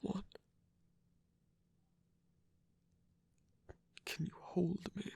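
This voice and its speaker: A performer voicing a proud, wounded villain who is secretly vulnerable, in a hesitant, breathy ASMR romance roleplay with frequent whispering. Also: warm tone, no room sound, close to an everyday voice. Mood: relieved, fragile. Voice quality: breathy, husky, often whispering. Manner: hesitant, halting, sighing.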